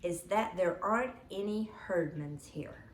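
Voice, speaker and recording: A woman's voice: lowish voice, a little slow, echoey room, slight background noise, close to an everyday voice. A woman voicing a blunt, matter-of-fact young schoolboy from a children's storybook. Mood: blunt, frank.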